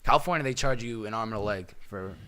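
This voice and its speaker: A young man hosting a casual podcast, upbeat and quick to laugh. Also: on the low side, pushed and bright. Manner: upbeat, laughs often, reads listener email aloud. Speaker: podcast host.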